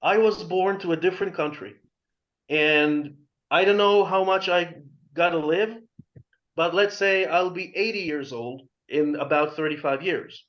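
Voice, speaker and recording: A man's voice: on the high side, measured pace. A man, arguing emphatically on a video call, repeating words and restarting sentences. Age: 40s. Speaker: panelist. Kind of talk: opinionated discussion on a video call. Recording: muffled video call audio.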